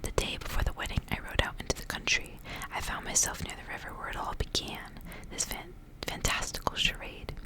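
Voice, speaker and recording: A woman reading a story aloud in a hushed ASMR whisper from start to end. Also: brisk. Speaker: ASMR reader.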